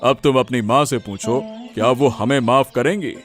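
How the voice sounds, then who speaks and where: A man voicing a repentant middle-aged village headman in a cartoon, speaking in a deliberate, formal way. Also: medium pitch, faint music behind, close to an everyday voice. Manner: deliberate, formal and addressing a crowd. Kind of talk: Hindi cartoon dubbing.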